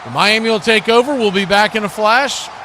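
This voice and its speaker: A man's voice: high-pitched, brisk. A man calling live football play-by-play as a radio announcer. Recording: clearly noisy background hiss and ambience.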